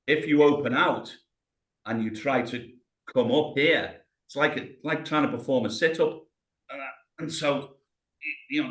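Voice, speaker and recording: British guy in his 50s talking someone through rehab moves on a webcam, keeps saying mate, steady and encouraging. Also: mid-range voice. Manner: encouraging, talks a client through each movement step by step, says mate. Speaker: rehab coach. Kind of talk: coaching exercise over video call. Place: home room, on the floor. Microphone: video call webcam.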